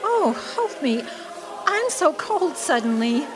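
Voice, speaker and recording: Actress as young female sweetheart, high voice, adoring, romantic, earnest, radio play, slight room noise. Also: very animated, slow, exaggerated voice. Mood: loving, anxious. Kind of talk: radio drama acting.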